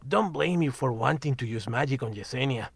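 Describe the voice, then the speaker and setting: Man voicing a talking cat, audio drama, grumpy, foul-mouthed, sarcastic, gravelly, husky, dry close recording. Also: medium pitch, expressive, brisk, dry recording. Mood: mocking. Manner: sarcastic, blunt, swears, drops Spanish words. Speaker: magical shop cat.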